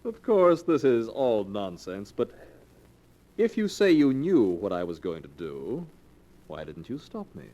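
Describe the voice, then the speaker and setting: An actor playing a greedy, callous, murderous grandson in 1940s radio style, theatrical and falsely polite. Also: male voice, fairly low pitch, big pitch swings, unhurried, mellow, muffled recording. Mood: feigned innocence.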